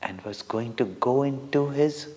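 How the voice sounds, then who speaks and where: Slow-speaking Indian man in a reverberant hall. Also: mid-range voice, darkish tone. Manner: deliberate, dramatic, with short pauses between phrases. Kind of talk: devotional storytelling for children. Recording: long reverberant hall echo.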